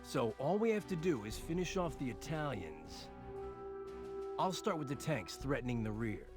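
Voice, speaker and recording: An actor playing a businesslike army colonel in a video game, speaking in a direct, decisive way. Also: male voice, medium pitch, sing-song, moderate pace, natural voice. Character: businesslike army colonel, the game's commanding hero. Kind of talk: scripted video game dialogue. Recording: music clearly under the voice. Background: game music.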